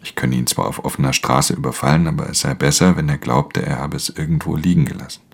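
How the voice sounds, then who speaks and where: A man acting in a German radio play as a sullen, guarded thief who also narrates, terse and deadpan in a gravelly, husky voice. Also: a bass voice, quick pace, full character voice. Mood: detached, guarded.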